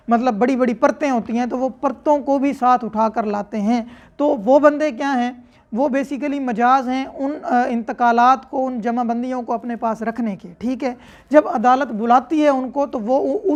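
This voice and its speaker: A woman with a Pakistani accent giving a lecture, explaining step by step in a didactic, instructional way. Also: fairly high pitch. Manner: didactic, step-by-step, checking with tag phrases. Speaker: law lecturer. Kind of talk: explanatory lecture reading statutes in English and explaining them with examples.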